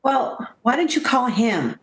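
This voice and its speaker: A woman's voice: on the high side, sing-song, a little slow. An actress playing a sensible, worried woman in a 1940s radio drama, speaking with earnest, theatrical delivery. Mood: impatient, coaxing. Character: sensible, worried woman friend, haunted when narrating the past. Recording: echoing radio play recording with music behind.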